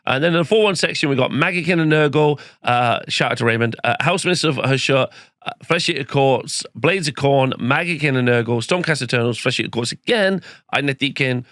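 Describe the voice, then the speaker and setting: A man hosting a casual rundown of game results, his voice croaky as it comes back, rambling with fillers. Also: medium pitch, a little fast, a bit bright, no echo, clean studio sound. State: croaky, recovering lost voice. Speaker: host. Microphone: home studio microphone.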